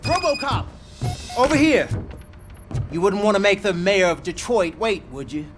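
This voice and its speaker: High-pitched, theatrical, slick mayor. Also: male voice, animated, normal speed, soft background music. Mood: smug, indignant. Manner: oily, grandiose politician's delivery. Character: slick, self-serving city mayor, male, theatrical campaigner. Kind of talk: video game voice acting.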